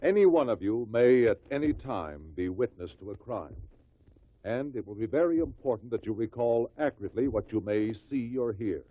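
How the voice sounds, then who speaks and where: An actor plays a measured, serious investigator introducing a vintage radio mystery. His medium-pitched voice is grave and deliberate, coming through a narrow, tinny old recording. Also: lively intonation, slight background noise, natural voice. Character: grave, measured male investigator addressing listeners. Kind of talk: 1950s radio drama narration.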